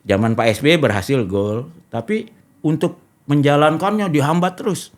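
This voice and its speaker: A man's voice: on the high side, normal speed. A middle-aged man, talking casually as a talk show host and explaining step by step. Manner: discursive, explains step by step with history and examples.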